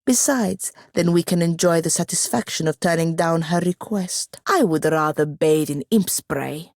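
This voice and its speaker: An actress playing a sardonic, ambitious young female wizard apprentice in a fantasy video game, with a dry, haughty, precise and sharp-tongued delivery. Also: fairly low pitch, creaky, no room sound. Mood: wry, determined. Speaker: video game companion character. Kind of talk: scripted fantasy game dialogue.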